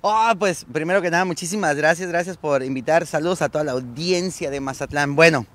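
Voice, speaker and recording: A man's voice: on the high side, brisk. Chatty man in his thirties. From Colombia, raised in Mexico. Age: 30s. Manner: relaxed, slangy and chatty. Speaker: clown and guest. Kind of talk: casual chat. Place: seaside street outdoors in sunshine. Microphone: interviewer's handheld microphone.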